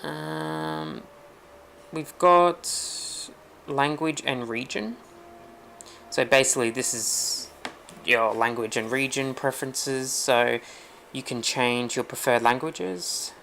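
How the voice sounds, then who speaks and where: Man, casual tech tutorial host, gravelly fry, easygoing and loose with plenty of ums. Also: mid-range voice, takes it slowly, a little hiss or hum. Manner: relaxed, rambling, many ums. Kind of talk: casual screen-recorded walkthrough.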